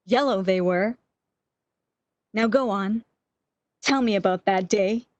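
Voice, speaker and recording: A woman's voice: medium pitch, slow, a little mellow, no room sound, natural voice. A woman playing a bedridden, lovesick woman in a radio romance, with breathless, emotional, old-fashioned melodramatic delivery. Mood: eager, nostalgic. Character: bedridden lovesick woman, wistful, eager, longing for lost love.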